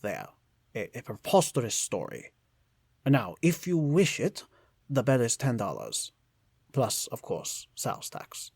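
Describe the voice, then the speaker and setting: A man playing a storytelling shopkeeper with a gravelly, husky voice in an old-time radio style drama. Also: mid-range voice, wildly swooping pitch, a little slow, darkish tone, no echo, studio quality, heavily acted. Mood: dramatic, salesmanlike. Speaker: actor.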